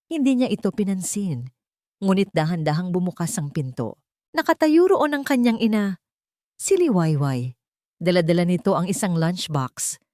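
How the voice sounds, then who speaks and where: Low-pitched woman narrating a dramatic story. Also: a little mellow, no room sound, studio quality.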